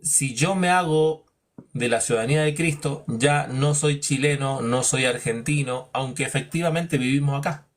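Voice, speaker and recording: Emphatic male Bible teacher. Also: moderate pace. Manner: rhetorical, acts out imagined dialogues; emphatic, drops low on verdicts. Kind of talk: preaching-style Bible lesson.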